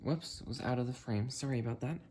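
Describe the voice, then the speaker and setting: Halting hobbyist narrator, noisy recording. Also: mid-range voice, dark tone. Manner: halting, thinking aloud while busy with hands. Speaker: hobbyist video creator. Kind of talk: casual unboxing and testing narration. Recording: noisy, with clicks and handling sounds.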